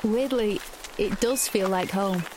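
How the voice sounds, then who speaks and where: A woman's voice: medium pitch, takes it slowly, a little mellow, slight background noise, close to an everyday voice, rough recording. A woman playing a wry, guarded seventeen-year-old girl in a naturalistic science fiction audio drama. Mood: reflective. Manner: wry, sardonic. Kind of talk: naturalistic audio drama acting with inner narration.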